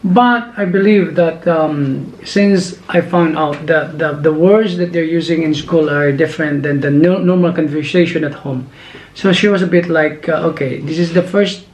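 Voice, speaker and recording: Filipino-accented man, higher voice, rambling. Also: moderate pace, few pauses. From Philippines. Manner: rambling, with many fillers and restarts. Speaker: father and channel host. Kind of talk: casual family chat. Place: home room. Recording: echoey room with faint noise.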